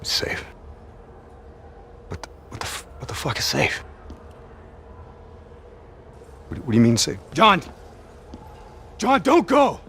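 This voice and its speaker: Gruff hot-headed soldier, wildly animated. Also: male voice, a little high, a little slow, pauses often, slight background noise, heavily acted. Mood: agitated. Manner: gruff, profane, emphatic. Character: hot-headed, profane male soldier pleading with lost comrade. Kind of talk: cinematic video game acting.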